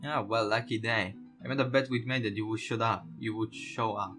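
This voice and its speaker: A man's voice: lowish voice, steady tone, brisk, lightly acted. A man voicing a nosy, casually teasing young man in a visual novel. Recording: voice over guitar background music.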